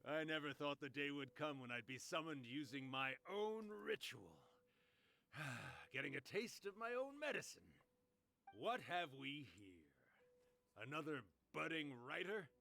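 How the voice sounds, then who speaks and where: A theatrical male spirit of a dead author for a video game. The voice is fairly high and swoops dramatically, with slow, grandiose, drawn-out phrasing and mischief throughout. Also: sing-song, gravelly, bright, forceful tone, heavily acted. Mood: bemused. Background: music.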